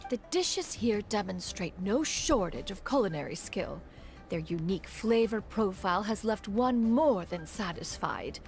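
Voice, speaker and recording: An actress playing a haughty, ancient immortal mentor in a video game, with pompous archaic speech. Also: female voice, mid-range voice, expressive, a little slow. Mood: proud. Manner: pompous, archaic speech, refers to self as "one". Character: haughty ancient adeptus bird in woman's form, imperious mentor. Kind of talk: scripted video game dialogue. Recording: music playing behind.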